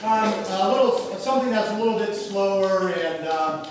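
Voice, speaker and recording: A man introducing band pieces at a public ceremony in a grange hall, talking haltingly with many fillers. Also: high voice, far from the mic, background noise. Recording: very echoey hall, heard from afar.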